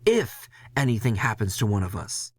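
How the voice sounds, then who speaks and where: A man's voice: on the low side, big pitch swings, normal speed, no room sound. A man voicing a stern, commanding male crew boss in an audio drama, exasperated and lecturing. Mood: stern, urgent.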